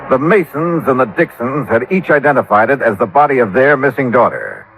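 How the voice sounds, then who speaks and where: An actor playing a wry, hard-boiled insurance investigator in 1950s radio drama, brisk and clipped. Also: male voice, mid-range voice, sing-song, a bit bright. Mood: wry narration. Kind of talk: 1950s radio detective drama acting and narration. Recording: dull old radio recording with music.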